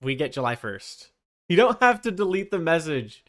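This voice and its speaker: A man chatting casually on a livestream, rambling and thinking out loud. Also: fairly high pitch, very animated, moderate pace. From Canada. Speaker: streamer.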